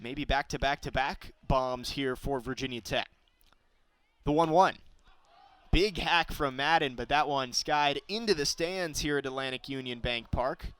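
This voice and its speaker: A young man calling a baseball game live as play-by-play announcer, describing each pitch and getting excited on big plays. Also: unhurried, clear, resonant tone, dry recording. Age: young adult.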